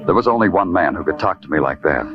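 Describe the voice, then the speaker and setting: An actor playing a world-weary American police detective, narrating in brooding, lyrical, hard-boiled 1940s radio noir style. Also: male voice, deep voice, lively intonation, fast. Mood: wry, reflective. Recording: dull old radio broadcast, loud music.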